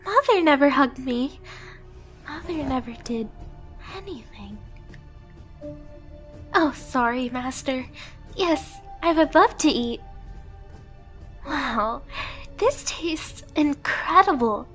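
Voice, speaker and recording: A woman voicing a timid, clingy young catgirl pet in an ASMR roleplay, soft and girlish, with meek, hesitant, stammering, apologetic speech. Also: high-pitched, lively intonation, slow, pauses often, soft background music, exaggerated voice. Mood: grateful, amazed.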